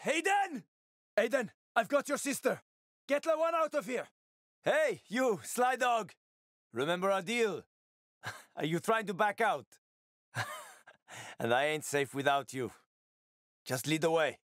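An actor playing a wry, roguish middle-aged survivor in a video game, with a sardonic, slangy, casual way of talking. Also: male voice, high voice, expressive, unhurried, long pauses, fairly bright tone, dry recording, clean studio sound. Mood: urgent, then playful banter. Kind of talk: video game character acting.

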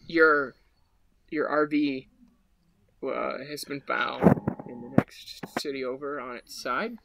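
Man improvising a halting, polite police officer. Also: medium pitch, steady tone, gravelly, bright, forceful tone, slight background noise, heavily acted, low-quality recording. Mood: halting, official. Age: young adult. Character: bumbling, polite male police officer questioning a mother. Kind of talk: improvised comedy table read.

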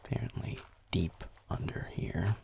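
A man's voice: on the low side, unhurried, vocal fry, darkish tone, in a whisper. A man playing a grumpy, defensive werewolf boyfriend in a roleplay audio, muttering sarcastically as he plays a video game. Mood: unsure. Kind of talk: roleplay audio, gaming chat with listener.

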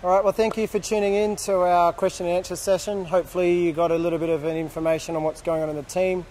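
Brief: young male host, slightly high voice, cheerful and jokey, outdoor handheld vlog. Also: little pitch movement, quick pace, a little mellow, slight background noise. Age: young adult. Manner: cheerful, joking, reads questions aloud. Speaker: sailor hosting a fan Q&A. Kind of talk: casual on-camera chat. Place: outdoors at a boat tent by a harbour. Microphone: handheld camera close up.

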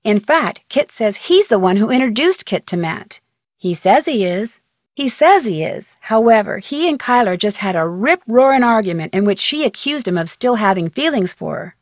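A young woman with an American accent playing a chatty, curious, level-headed amateur detective in a video game, speaking conversationally with clear diction. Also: medium pitch, big pitch swings, normal speed, no room sound, studio quality. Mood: matter-of-fact, intrigued. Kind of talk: video game voice acting, conversational phone-call dialogue.

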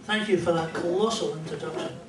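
An older man with a Scottish accent telling jokes and a rambling story at a stand mic on a small club stage, in a dry, unhurried way. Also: fairly high pitch, steady tone, noisy background. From Scotland. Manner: dry, rambling joke delivery with pauses. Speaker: comedian and musician. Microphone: stand mic, filmed from a distant camera. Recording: roomy club sound from far off.

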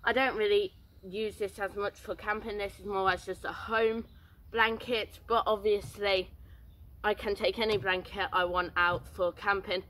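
A man's voice: high voice, little pitch movement, normal speed, pushed and bright, slight background noise, rough recording. A teenage boy, rambling casually through outdoor gear in a garden, with many fillers. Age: teens.

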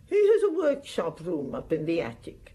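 An actress playing a chatty, gossipy woman concierge in a radio drama, with a husky, unhurried voice. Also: fairly low pitch, huge pitch swings, warm tone, a little hiss or hum. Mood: confiding. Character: gossipy older woman concierge of apartment building.

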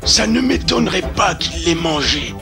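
A man's voice: fairly high pitch, sing-song, normal speed, creaky, over music. A man voicing an old, forgetful, easygoing talking elephant in a French cartoon dub. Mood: easygoing, gossipy.

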